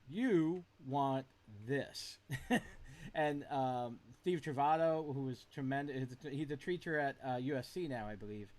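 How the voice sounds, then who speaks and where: Man, slightly high voice, casual video-call chat, reflective, rambling. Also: a little mellow. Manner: reflective, rambling with many restarts. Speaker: guitarist on a group video call.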